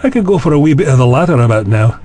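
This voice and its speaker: A man's voice: mid-range voice, lively intonation, very fast, background noise, lightly acted. A man voicing a sardonic, skeptical young official in an audio drama, dry and sarcastic.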